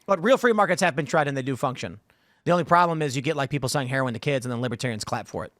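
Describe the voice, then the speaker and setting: A man's voice: mid-range voice, rapid-fire, a bit bright, dry recording, clean studio sound. A man hosting a casual political panel show, opinionated and prone to acting out imagined exchanges. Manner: opinionated, acts out imagined dialogue. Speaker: podcast host.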